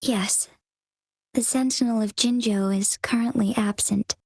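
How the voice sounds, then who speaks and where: A gracious game leader who explains matters in a calm, formal way. Her fairly high voice carries a slight fry, recorded dry and close. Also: measured pace, dry recording. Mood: earnest. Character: composed young female leader, formal and gracious. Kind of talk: video game cutscene acting.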